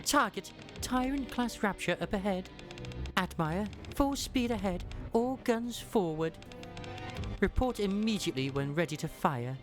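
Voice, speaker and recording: A woman's voice: medium pitch, lively intonation, measured pace, music in the background, lightly acted. A woman playing a stoic, principled naval commander in an anime game fandub, speaking formally and earnestly with authority. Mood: commanding.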